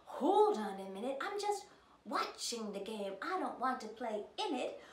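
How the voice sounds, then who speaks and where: A woman's voice: on the high side, big pitch swings, a little slow, reverberant, recorded from a distance. A woman voicing a spunky, polite young girl in a storybook, earnest and eager. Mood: polite, hesitant.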